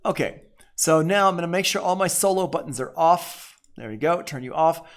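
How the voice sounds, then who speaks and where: An American man giving an informal software tutorial, thinking aloud as an instructor and punctuating steps with short exclamations. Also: fairly high pitch, animated, moderate pace. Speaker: audio production instructor.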